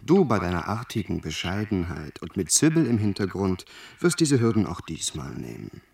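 Animated, teasing worldly gentleman. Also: low-pitched, moderate pace, vocal fry, heavily acted. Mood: amused, teasing. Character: worldly, teasing gentleman confidant, amused and chiding. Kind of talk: German radio drama acting.

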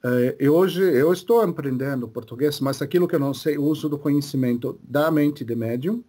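A man speaking as a spirit guide in a religious session, slow and hesitant, often pausing to search for his words. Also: a little high, mellow. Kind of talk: slow halting spiritual talk.

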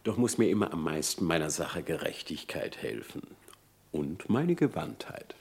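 An actor playing a sly, self-assured, courteous fox in a classic radio play, speaking verse suavely. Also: male voice, low voice, very animated, normal speed, creaky, darkish tone, husky, full character voice. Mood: smugly grateful.

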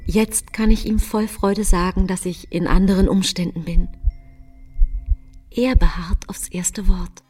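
An actress playing a tender, hopeful woman around thirty in a radio drama inner monologue, with a breathy voice. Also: medium pitch, fairly even intonation, unhurried, darkish tone, far from the mic. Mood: breathless joy.

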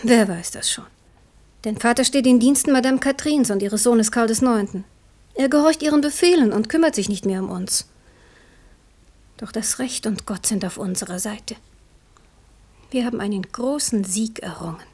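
A woman's voice: fairly high pitch, normal speed, long pauses, a little mellow, close to an everyday voice. An actress playing a stern, devout queen who speaks earnestly and firmly to her young son in an old radio drama. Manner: earnest, warning, firm. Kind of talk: 1970s radio drama acting.

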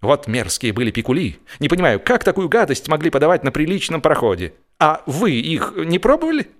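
A man's voice: fairly high pitch, very animated, brisk, voice pushed up. A man voicing a boastful, pompous traveller in a comic radio story. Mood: self-justifying. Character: boastful traveller, self-important man who hides his seasickness.

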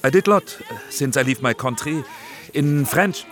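An actor playing a polite émigré film director, a German speaker struggling through halting, accented English in a radio drama. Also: male voice, medium pitch, lively intonation, a bit bright, soft background music. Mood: eager, uneasy. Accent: German or Austrian accent in English. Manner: halting, eager to agree.

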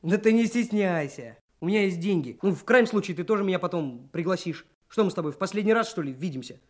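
An actor playing a brash, self-assured young Komsomol trainee detective in a Soviet radio drama. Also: male voice, high voice, animated, fast talker. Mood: breezy, persuasive. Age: young adult. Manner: brash, confident, lecturing. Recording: 1980s radio drama, music behind.

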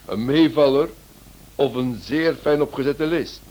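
A man playing a lively, sceptical detective in a radio play, exclaiming and questioning in an animated way. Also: mid-range voice, measured pace, close to an everyday voice. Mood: questioning, pressing. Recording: noisy old radio play recording.

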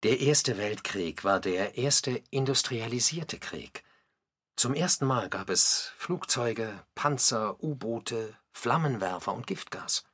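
A man reading scripted narration for a radio feature, with a slightly husky voice. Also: medium pitch, lively intonation, slow, fairly bright tone, exaggerated voice.

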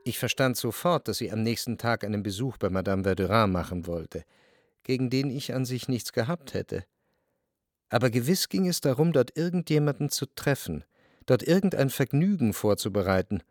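An actor playing a jealous, introspective lover who narrates in the first person in a measured, deliberate way, in a literary radio drama. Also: male voice, medium pitch, animated, dry recording, studio quality, lightly acted. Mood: brooding, analytical. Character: jealous, brooding male lover recounting his obsessions. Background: music.

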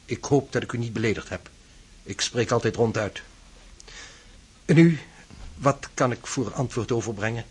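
An actor in a radio drama playing a polite, frank young gentleman, composed and direct. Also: medium pitch, normal speed, long pauses, husky. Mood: courteous. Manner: courteous, direct. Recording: faintly noisy radio play recording.

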